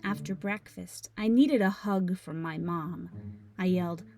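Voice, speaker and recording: A woman narrating a children's read-aloud in an expressive, dramatic storytelling style. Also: on the low side, measured pace. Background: music.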